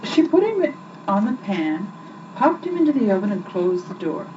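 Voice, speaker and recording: A young woman reading children's stories aloud in an expressive, rhythmic storytelling style, on an old muffled home recording. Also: mid-range voice, normal speed. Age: young adult. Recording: muffled, noisy 1980s home recording, distant mic.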